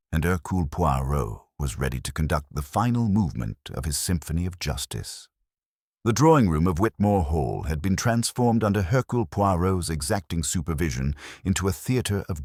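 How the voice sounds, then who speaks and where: A man reads a scripted mystery in an extremely deep voice, with ornate, dramatic storytelling. His intonation is lively and expressive. The recording is close and completely dry, with no room sound. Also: slightly rough, no room sound.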